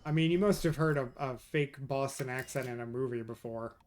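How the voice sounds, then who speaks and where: A man's voice: medium pitch, a little fast, a little mellow. A man from New York chatting casually with his viewers while streaming a game, answering chat as he plays. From New York, USA. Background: faint game music.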